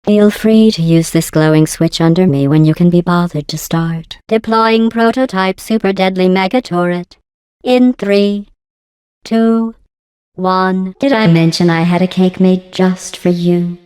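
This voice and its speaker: A woman voicing a passive-aggressive female artificial intelligence in a video game, deadpan and mock-sweet, with synthetic robotic processing. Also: fairly low pitch, unhurried, big-room sound. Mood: dry sarcasm. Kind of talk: scripted video game dialogue.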